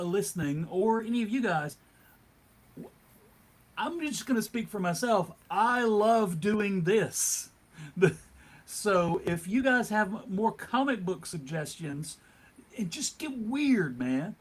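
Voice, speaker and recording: Male host, notably high pitch, slow halting pace, conversational. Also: animated. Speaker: panelist and host. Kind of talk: casual chat, addressing listeners.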